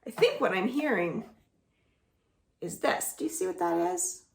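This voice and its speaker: Slow singsong woman reading storytime. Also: fairly low pitch, expressive, gravelly, echoey room, slight background noise. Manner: slow, singsong and coaxing, asks the listeners to join in. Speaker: children's librarian. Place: at home.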